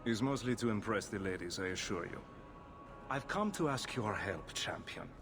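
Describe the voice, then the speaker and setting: A man's voice: fairly low pitch, expressive, moderate pace, music in the background. Suave, courtly foreign nobleman. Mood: charming, boastful. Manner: courtly, theatrical. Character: suave, flowery Antivan nobleman hunting an assassin. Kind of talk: video game cutscene acting.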